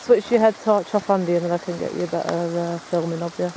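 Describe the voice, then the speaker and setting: A man's voice: high voice, steady tone, fast talker, noisy background. A man chatting casually while investigating the woods at night, rambling and explaining as he goes. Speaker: paranormal investigator filming. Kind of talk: casual on-location chat. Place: woods by a stream at night, in rain.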